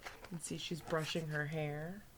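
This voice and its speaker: A woman reading a picture book aloud as a teacher to young children, pausing for asides to her listeners. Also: sits low, little pitch movement, noisy background.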